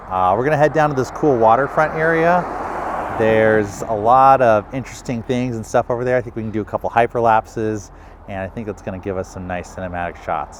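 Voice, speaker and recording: A man's voice: fairly low pitch, a little hiss or hum. A man talking casually to camera as a vlogger, thinking aloud with hesitant restarts. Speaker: video creator vlogging a filming challenge. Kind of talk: casual vlog talk to camera.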